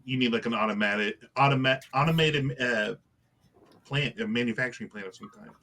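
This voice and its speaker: A man's voice: medium pitch, fairly quick, bright, forceful tone, a little hiss or hum. A man on a podcast panel, bantering casually and jumping in with jokes. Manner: joking, interjecting.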